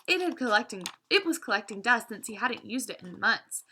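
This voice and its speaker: A woman reads a children's story aloud in a fairly high, bright voice. Her delivery swings widely in pitch and is expressive throughout. She occasionally trips on a word and corrects herself. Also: fairly quick, slight background noise. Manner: animated, with stumbles and self-corrections.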